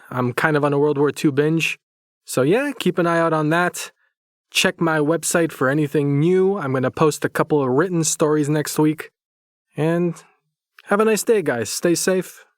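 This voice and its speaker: A man's voice: on the high side, no room sound, clean studio sound. A young man from Poland chatting informally and a bit hesitantly to listeners as host of a story channel. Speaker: host and writer of horror audio stories. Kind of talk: casual outro chat to listeners.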